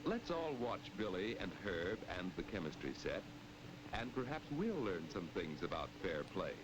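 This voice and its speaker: A man's voice: deep voice, big pitch swings, moderate pace, muffled recording. A man narrating in the style of a 1940s educational film, on a noisy old film soundtrack.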